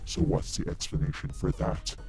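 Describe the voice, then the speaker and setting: A man's voice: moderate pace, mellow, soft background music, lightly acted. A man playing a brash, menacing demon biker who taunts, threatens and swears in an audio roleplay. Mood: mocking, threatening. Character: brash, menacing male demon biker, sarcastic and foul-mouthed.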